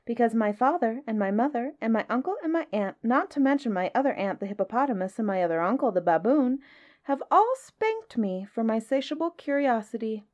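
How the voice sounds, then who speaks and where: A woman's voice: fairly high pitch, expressive, brisk, darkish tone, lo-fi sound, voice pushed up, lightly acted. A woman voicing a very polite, curious young male elephant child in a storybook tale, earnest and plaintive. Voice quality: nasal when nose is caught. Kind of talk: storybook character voice.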